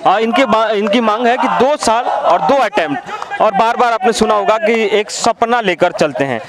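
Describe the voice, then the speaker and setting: A man's voice: high-pitched, expressive, normal speed. Man reporting from a crowded protest. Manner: questioning, summarizing for viewers. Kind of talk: street reporting. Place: outdoor protest in the city. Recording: crowd noise around.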